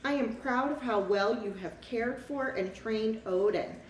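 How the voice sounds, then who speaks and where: Woman playing kindly old man, storybook Christmas figure, gracious, slow delivery, roomy echo. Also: a little high, lightly acted. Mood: kindly, welcoming. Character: Santa Claus, kindly old man greeting and thanking a girl. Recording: echoey room, distant mic, faint noise.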